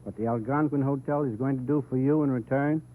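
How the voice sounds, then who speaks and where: A man's voice: medium pitch, steady tone, a little fast, close to an everyday voice. A man acting a pompous, mock-grand hotel man in 1930s radio comedy, on an old tinny broadcast. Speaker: comic guest on a radio variety show.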